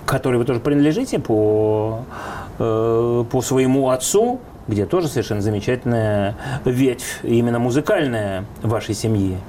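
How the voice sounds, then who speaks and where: A man's voice: medium pitch, animated, moderate pace, mellow, a little hiss or hum. A man hosting an interview, asking long questions and adding admiring remarks. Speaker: interview host. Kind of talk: television talk interview.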